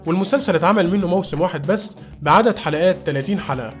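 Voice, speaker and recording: A man's voice: sits high, rapid-fire, soft background music. A man with an Egyptian accent narrating an informal scripted review video.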